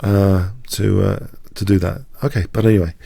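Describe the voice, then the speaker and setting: A man's voice: deep voice, steady tone, normal speed, creaky, warm tone, husky, no room sound, studio quality. A man with a cold commentating on his own blitz chess games, hesitant and prone to stammering restarts. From not from the United States. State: congested with a cold. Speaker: chess streamer.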